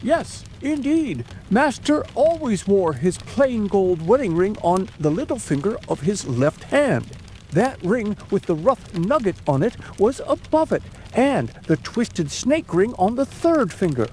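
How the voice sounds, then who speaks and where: A man's voice: high-pitched, very animated, unhurried, a little mellow, slight background noise, pitched above the performer's own voice. A man voicing an earnest, deferential household butler in an audiobook drama, speaking with shocked emphasis. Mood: astonished.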